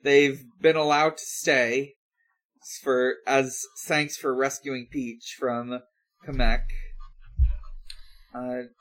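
A man hosting a podcast, chatting casually through a recap with a halting, hesitant delivery and frequent pauses. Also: medium pitch, flat delivery, a bit bright. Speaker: podcast host. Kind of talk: casual recap talk with co-hosts.

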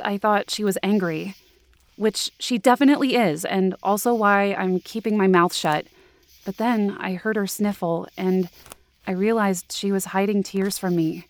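A woman's voice: mid-range voice, lightly acted. A woman playing a guilt-ridden young woman who narrates an eerie mountain trek in a scripted audio drama. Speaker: narrating character in fiction podcast.

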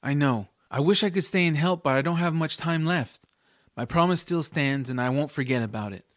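A man's voice: a little high, a little fast, dry recording, clean studio sound, close to an everyday voice. A man playing an earnest, eager young boy hero in a family fantasy audiobook drama. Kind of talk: audiobook drama character acting.

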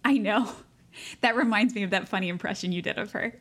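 An actress playing a polite, ingratiating high school senior, an American girl, in a naturalistic audio drama. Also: fast, fairly bright tone, natural voice.

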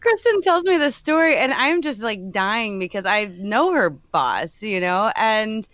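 A woman retells stories with animated, lively delivery as a podcast guest. The recording is dull and lo-fi, with a dry sound. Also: a little high, a little slow, no echo. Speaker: musician guest. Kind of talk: casual storytelling.

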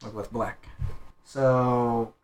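A man on a podcast panel, chatting informally and thinking aloud in halting phrases. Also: mid-range voice, level tone. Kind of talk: casual chat.

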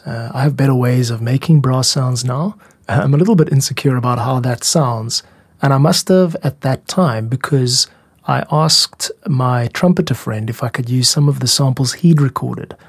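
Husky man explaining music production, dry sound. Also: mid-range voice, no echo. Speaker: music podcast host and composer.

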